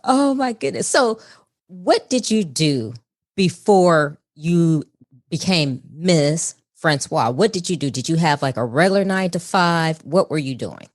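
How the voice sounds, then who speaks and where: Low-voiced woman podcast host. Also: lively intonation, measured pace. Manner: warm and effusive, laughs easily. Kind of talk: scripted intro then interview.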